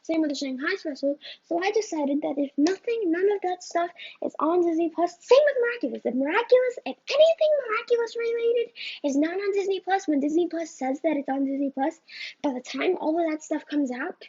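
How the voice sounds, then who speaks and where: A woman's voice: very high voice, animated, a little fast, warm tone. A woman vlogger ranting to the camera in a rambling way, often tacking on "right?" and "you know?", recorded on a phone. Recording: slightly muffled phone recording.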